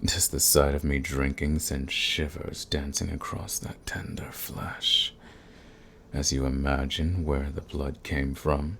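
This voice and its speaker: Man playing a deep, gravelly, seductive vampire lord. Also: fairly even intonation, a bit bright, slight background noise, heavily acted. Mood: mocking, menacing. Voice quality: gravelly, husky. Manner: courtly, purring, slow and menacing. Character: suave, sadistic, seductive male vampire lord. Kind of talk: ASMR roleplay, scripted monologue to the listener.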